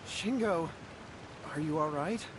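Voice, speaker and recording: A concerned younger-sounding brother in a video game, his voice a little high. He speaks politely and humbly, with faint ambience behind him. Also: takes it slowly, background noise. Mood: worried, regretful.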